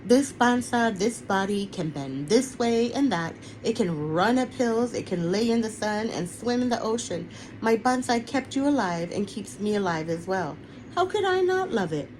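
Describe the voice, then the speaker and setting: A woman's voice: a little high, lively intonation, normal speed, darkish tone, natural voice. Woman reading as a playful loving storybook mom, affectionate n proud of her body, noisy audio. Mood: proud, assured. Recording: clearly noisy online webinar recording.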